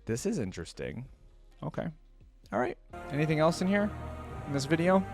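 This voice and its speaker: A man's voice: a little high, sing-song, a little fast. A young man hosting a reaction video, commenting casually and musing aloud as he goes. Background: faint music.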